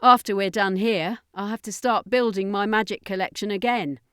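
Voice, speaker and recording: A woman's voice: medium pitch, steady tone, moderate pace, fairly bright tone, smooth, no room sound, clean studio sound, natural voice. A woman voicing a brash, boastful alien sorceress in an audiobook adventure, brusque and sardonic. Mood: boastful, brisk. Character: brash, snappy female alien sorceress, flying and bragging.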